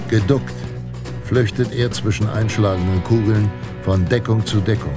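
Deep solemn cowboy tale narrator, super dramatic and suspenseful, radio play, loud guitar music. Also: male voice. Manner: grandiose, suspenseful storytelling. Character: solemn, melodramatic narrator of pulp Western adventure fantasies.

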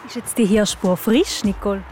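A woman's voice: mid-range voice, steady tone, moderate pace, a little mellow, a little hiss or hum. Swiss German woman presenting children's radio.

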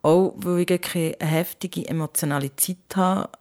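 A woman's voice: lowish voice, steady tone, measured pace, a little mellow. A woman with a Swiss German accent talking candidly and off the cuff, as in a casual personal audio diary. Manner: candid, unscripted, musing. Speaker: podcast host and parent.

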